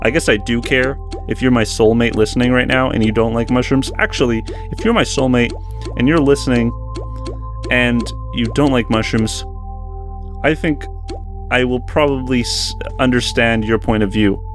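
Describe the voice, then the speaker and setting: A young man talks into a desk mic in a small bedroom setup, giving loose, self-deprecating commentary over old gameplay footage. His delivery is unscripted and candid, and soft music plays faintly underneath. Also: mid-range voice, steady tone, normal speed. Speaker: gaming channel host. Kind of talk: post-recorded gameplay commentary.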